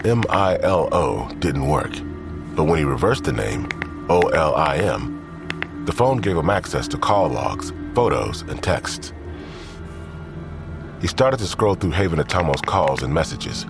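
A man's voice: rumbling low, big pitch swings, measured pace, gravelly, exaggerated voice. A man narrating an audio drama crime mystery from a script. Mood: measured. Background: music.

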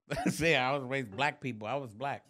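A man's voice: mid-range voice, moderate pace, pushed and bright. A man co-hosting a podcast in casual chat, blunt and profane, tagging "bro" onto his sentences. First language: English. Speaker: podcast co-host.

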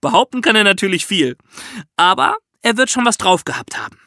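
A man co-hosting a podcast in a lively, conversational style, telling things in a chatty, colloquial way. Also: sits high, fairly quick, dry recording, clean studio sound. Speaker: podcast co-host.

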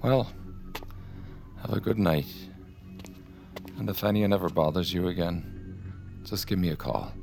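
Commanding officer: low creaky register, smooth probing courtesy, faint music behind, audio drama. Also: male voice, a little slow, long pauses, a little mellow. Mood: probing, courteous.

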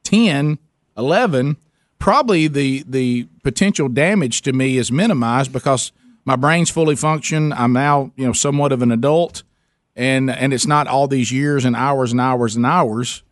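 A man's voice: mid-range voice, normal speed, no room sound, studio quality. A man hosting a call-in radio show, talking conversationally and circling back to rephrase as he explains his point. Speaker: radio talk show host.

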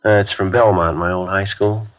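A man's voice: low voice, steady tone, normal speed, close to an everyday voice. A man playing a terse police sergeant on old radio. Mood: easygoing. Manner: clipped, matter-of-fact. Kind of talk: 1950s radio drama acting. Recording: muffled 1950s radio broadcast.

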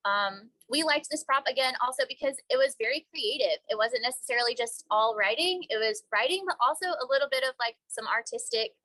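A woman's voice: sits high, expressive, fairly quick, few pauses, pushed and bright, no echo, poor audio. A woman park ranger and educator answering interview questions in an upbeat way, hedging with small fillers.

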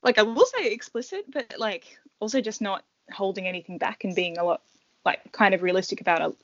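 A woman's voice: mid-range voice, animated, fairly quick, no echo, dull audio. A woman hosting a podcast, welcoming guests and asking questions conversationally. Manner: conversational, some fillers. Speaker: podcast host.

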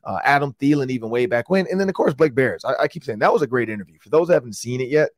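A hoarse, raspy middle-aged man with an Upper Midwest accent rambles excitedly through a sports story. His voice sits at an ordinary pitch, and the recording is dry and close. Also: racing, dry recording. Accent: Upper Midwest American. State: hoarse from yelling. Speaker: sports talk show host. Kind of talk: casual podcast chat.

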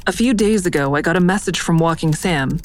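A woman's voice: on the low side, a little fast, close to an everyday voice. An actress playing a troubled, self-critical woman who narrates her own story in an audio drama, terse and matter-of-fact. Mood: brooding, matter-of-fact. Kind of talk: first-person audio drama narration, present tense.

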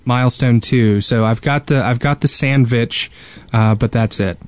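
A man's voice: mid-range voice, normal speed. A man co-hosting a podcast in casual chat with a wry, joking manner. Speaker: podcast co-host.

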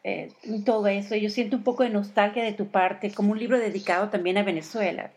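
A woman radio host interviews her guest. She asks long, rambling questions and pauses often to find her words. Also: mid-range voice, steady tone. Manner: warm, rambling questions with hesitations.

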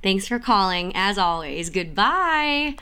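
A woman's voice: medium pitch, slow, clean tone, heavily acted. A woman playing an exasperated musical-theatre writer with a sarcastic, wry delivery. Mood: dry sarcasm. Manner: sarcastic, animated. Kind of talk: scripted audio comedy acting.

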